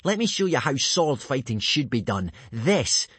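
A man's voice: medium pitch, very animated, moderate pace, a bit bright, husky, no echo, voice pushed up. A man voicing a boastful, sneering teenage Viking bully in a children's audiobook, drawling and self-satisfied. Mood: jeering. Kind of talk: children's audiobook character acting.

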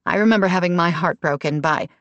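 A woman's voice: lowish voice, quick pace, fairly bright tone, no echo, highs cut off, lightly acted. A woman voicing a gossipy, tactless mother with a chatty manner in an audiobook. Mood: flustered. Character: gossipy, tactless horse-stable-owning mom. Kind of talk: audiobook character dialogue.

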